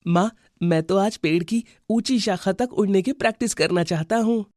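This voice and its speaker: A man voicing a young male bird in a children's animal cartoon, with an animated, exclaiming delivery. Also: high voice, normal speed, dry recording, studio quality, close to an everyday voice. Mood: eager.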